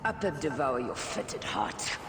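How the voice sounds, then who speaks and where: A man's voice: mid-range voice, very animated, normal speed, close to an everyday voice. A man playing a vengeful cursing hero. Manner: cold, cursing. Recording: echoey cutscene with loud music.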